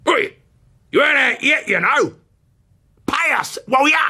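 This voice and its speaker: An actor voicing an angry, jeering Cockney creditor who bawls taunts and demands for money in a stage monologue. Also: male voice, very high voice, lively intonation, a little slow, pitched above the performer's own voice, exaggerated voice. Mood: jeering anger. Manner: loud, taunting, bawling.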